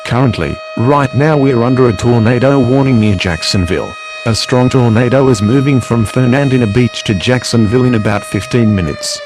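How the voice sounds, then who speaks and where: A man's voice: medium pitch, normal speed, hardly any pauses, over music, lightly acted. A man playing a composed television news anchor covering a storm, formal and matter-of-fact in a scripted broadcast. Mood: concerned.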